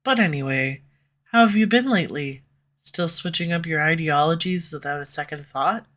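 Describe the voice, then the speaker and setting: A woman's voice: low-pitched, normal speed, fairly bright tone. A woman voicing a sarcastic snarky villain. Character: snarky sharp-tongued villain, slightly tsundere. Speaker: audio roleplay voice actor. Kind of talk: scripted audio roleplay. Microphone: USB condenser mic.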